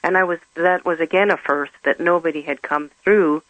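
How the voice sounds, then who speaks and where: A middle-aged woman whose first language is German, speaking earnestly and haltingly as an interview guest. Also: sits low, fairly even intonation, dry recording, phone-quality sound. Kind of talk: personal testimony in conversation.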